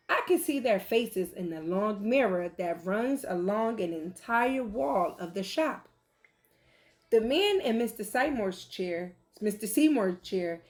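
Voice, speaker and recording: A woman reading a picture book aloud like a teacher, with animated, deliberate delivery and questions for young listeners. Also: mid-range voice. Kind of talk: expressive children's read-aloud with questions to listeners.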